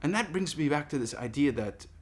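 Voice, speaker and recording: A man's voice: on the high side, expressive, fairly quick. Earnest man in his thirties from Palestine. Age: 30s. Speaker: vlogger making a response video. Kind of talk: personal monologue addressed to camera.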